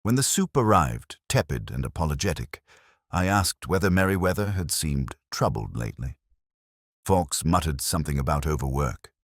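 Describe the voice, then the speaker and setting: Male narrator for literary mystery fiction; very deep, expressive pitch movement, measured and reflective delivery. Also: dry recording, studio quality. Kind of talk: first-person scripted detective story narration.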